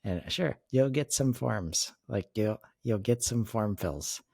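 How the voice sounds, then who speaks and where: A man's voice: on the low side, expressive, slow pace, vocal fry, warm tone, breathy edge, dry recording, studio quality. A man co-hosting a business podcast, explaining things informally and conversationally, often restarting his phrases. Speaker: podcast co-host.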